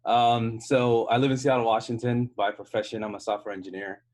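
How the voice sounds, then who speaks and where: A man's voice: medium pitch, little pitch movement, fairly quick, a little mellow. A man with an American accent speaking casually on an online panel, explaining conversationally, restarting sentences and checking in with "right?". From United States. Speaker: panel speaker, software engineer. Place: hotel room, online call.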